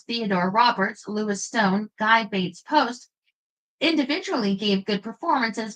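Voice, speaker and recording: Woman narrator, bright and crisp, fairly high pitch; measured, expressive biography reading. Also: poor audio.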